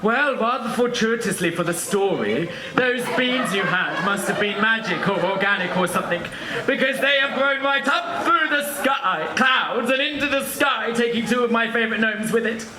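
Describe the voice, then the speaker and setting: A distant, echoey, noisy stage recording of a gossipy pantomime dame played by a man. The voice is high and arch, swooping through exaggerated exclamations. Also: animated, moderate pace, a crowd in the background. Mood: flustered, arch. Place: theatre stage. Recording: distant, echoey theatre recording, loud noise.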